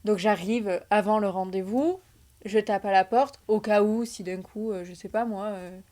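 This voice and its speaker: A woman co-hosting a real estate podcast, relaxed as she tells a story about a client visit, with many fillers. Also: medium pitch, moderate pace. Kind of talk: casual storytelling chat.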